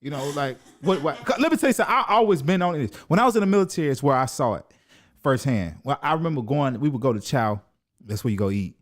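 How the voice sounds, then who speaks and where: Lively thirty-something man hosting. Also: medium pitch, fast. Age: 30s. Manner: animated, laughs often, loose and profane. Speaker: podcast host. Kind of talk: casual group chat.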